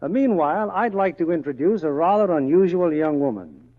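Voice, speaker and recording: A man's voice: fairly high pitch, animated, fairly quick. A man hosting a 1940s radio program with scripted introductions. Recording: dull old radio broadcast, long reverb.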